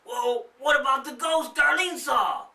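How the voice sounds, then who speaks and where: Woman doing an alarmed high-pitched boy. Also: a little slow, gravelly, bright, forceful tone, shouting, heavily acted. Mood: alarmed, defiant. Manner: urgent, exclaiming. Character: alarmed, protective older boy. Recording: roomy, distant microphone.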